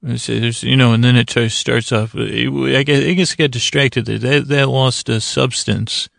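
A man hosting a bedtime podcast in a halting, meandering improvised monologue, whimsical and apologetic, with a gravelly creak in his voice. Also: medium pitch, fairly even intonation, a bit bright, dry recording, clean studio sound. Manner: meandering, halting, trails off into tangents and self-corrections. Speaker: podcast host.

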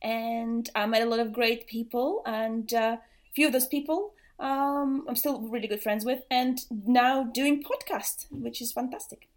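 A woman's voice: a little high, steady tone, measured pace. Latvian-born woman in her thirties. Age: 30s. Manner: chatty, lots of ums. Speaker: podcast co-host. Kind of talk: casual podcast chat.

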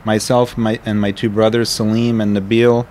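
A middle-aged man with a smooth radio voice, a winery owner telling his family's story in a measured way, with fillers. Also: on the low side, little pitch movement, a little mellow, slight background noise. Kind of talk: podcast interview. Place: vineyard tasting area.

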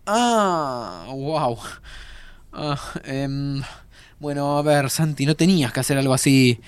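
An actor with an Argentine accent playing a nervous, well-meaning time traveller in an audio drama, stammering and excitable. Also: male voice, medium pitch, slow, smooth, dry recording. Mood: curious, reassuring. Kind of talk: Spanish-language audio fiction acting.

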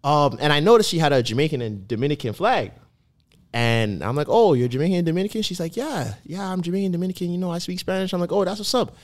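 A young man co-hosting a podcast, chatting casually and telling stories in slang. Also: fast talker. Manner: animated storyteller, slangy, swings pitch widely. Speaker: podcast co-host. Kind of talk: casual chat.

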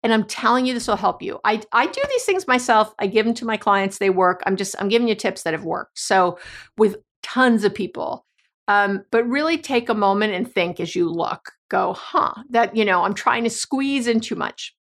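A woman hosting an advice talk, chatty and a little hoarse, often restarting her sentences and laughing. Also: medium pitch, expressive, moderate pace, a bit bright. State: slightly hoarse. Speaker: podcast host and coach. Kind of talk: casual advice monologue.

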